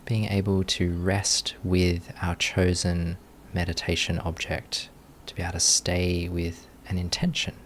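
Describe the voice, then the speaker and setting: Deep voiced guy teaching meditation, really slow n gentle, lots of pauses, bit of background noise. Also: monotone. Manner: unhurried, gentle instructing with long pauses. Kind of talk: guided meditation instruction.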